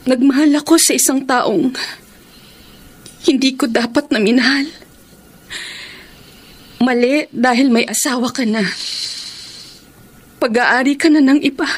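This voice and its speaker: A woman's voice: high voice, unhurried, pauses often. A woman with a Filipino accent acting a devoted, heartbroken mistress in a radio drama, plaintive and melodramatic. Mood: sorrowful resolve. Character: devoted, self-sacrificing young mistress, heartbroken. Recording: radio drama with music underneath.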